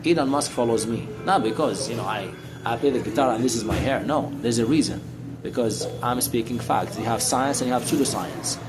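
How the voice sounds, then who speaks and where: A man's voice: mid-range voice, a little fast. A middle-aged man from Syria talking casually and unscripted, explaining things in a preachy, repetitive, rambling way. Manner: rambling, repeats phrases for emphasis; preachy, addresses listener as brother. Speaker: street guitarist and talker. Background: guitar music.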